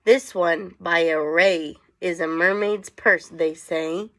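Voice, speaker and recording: A woman's voice: on the low side, steady tone, slow pace, a bit bright. A woman teacher reading aloud to children, with chatty asides and questions for her young listeners.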